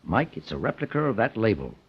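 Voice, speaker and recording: An American man playing a famous private investigator in an old radio drama, speaking in a formal, measured, authoritative way. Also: lowish voice, big pitch swings, slightly rough, heavily acted. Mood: grave, accusing. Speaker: actor in radio detective serial. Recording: muffled old radio recording.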